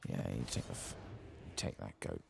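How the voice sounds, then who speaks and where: A soft-spoken man with a gravelly, husky voice, giving casual ASMR commentary as he plays a game. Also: normal speed, background noise. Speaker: game streamer. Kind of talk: soft-spoken ASMR gaming commentary, reading quest text aloud.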